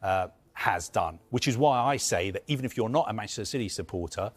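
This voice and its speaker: A man's voice: on the low side, animated, fairly quick, husky. A man giving live news analysis as a football reporter, earnest and emphatic, building his points through lists.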